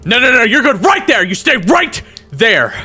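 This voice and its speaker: A man's voice: extremely high pitch, sing-song, moderate pace, sharp tone, husky, pitched above the performer's own voice, full character voice. A man voicing a wary, exhausted young soldier who stammers and swears defensively, in an audio roleplay with music behind. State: exhausted and hungry.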